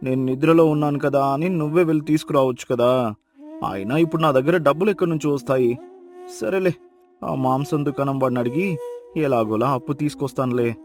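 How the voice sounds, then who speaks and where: A man playing a lazy, food-loving young village husband with a whiny, exaggerated manner in an animated moral story. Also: medium pitch, fast talker, faint music behind, natural voice. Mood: grumbling. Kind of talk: acted moral story dialogue.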